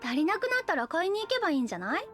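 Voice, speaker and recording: A woman's voice: very high voice, very animated, racing, fairly bright tone, faint music behind, full character voice. An actress playing a carefree, teasing young female college student in a Japanese anime audio drama, talking breezily. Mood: cheerful, carefree. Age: young adult. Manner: breezy, casual, playful.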